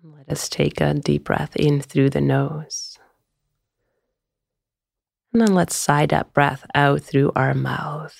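A woman guiding a meditation in a soothing, gentle, unhurried way, often trailing into a breathy near-whisper. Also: deep voice, little pitch movement, no room sound, clean studio sound. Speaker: meditation guide. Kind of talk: guided meditation.

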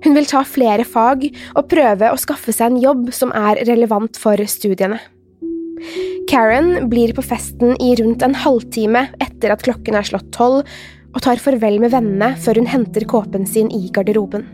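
A woman hosts a true crime podcast in a fairly high voice. She reads from a script as a narrator, with faint music behind her.

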